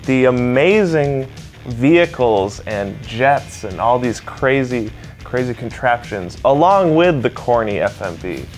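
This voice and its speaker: A man's voice: medium pitch, animated, unhurried, faint music behind. A man giving casual, conversational commentary as a video game pundit.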